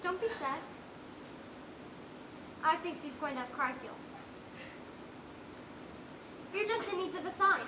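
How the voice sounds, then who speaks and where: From across an echoey stage comes a woman playing a very high-pitched little girl. She is earnest and imaginative, with quaint, childlike phrasing, in a school play. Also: lively intonation, slow pace, long pauses, full character voice. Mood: innocent, curious. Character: earnest, imaginative little orphan girl who talks to trees. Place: stage in a large room, distant microphone. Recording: noisy, echoey stage recording from far off.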